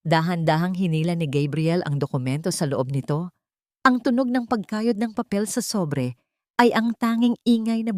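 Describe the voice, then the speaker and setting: A woman's voice: sits low, a little mellow, no room sound, clean studio sound. A woman reading a dramatic story aloud as a scripted audiobook narration.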